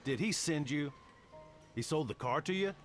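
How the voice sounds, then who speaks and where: A man's voice: on the high side, lively intonation, normal speed, faint music behind, natural voice. An actor playing a chatty middle-aged male car mechanic in a romance game, folksy, casual and sympathetic. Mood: casual, curious. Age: 40s. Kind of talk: voiced dialogue in a romance game.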